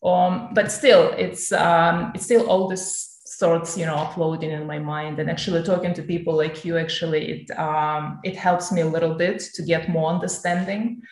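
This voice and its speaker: A woman's voice: lowish voice, steady tone, normal speed, few pauses, darkish tone. Ukrainian-accented woman, echoey room. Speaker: host, long-distance traveller. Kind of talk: casual conversational interview. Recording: roomy echo on a video call.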